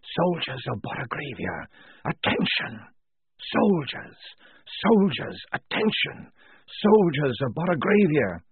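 Man putting on a reedy, high, commanding girl. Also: very animated, a little slow, husky, no echo, phone-quality sound, full character voice. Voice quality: reedy, with whispery undertone. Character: small devout girl commanding with ghostly authority.

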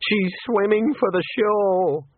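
A man's voice: high voice, unhurried, no echo, narrow, tinny audio, voice pushed up. A man voicing a fussy, gallant older wizard with an earnest, fretful manner in a comic audiobook. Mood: aghast.

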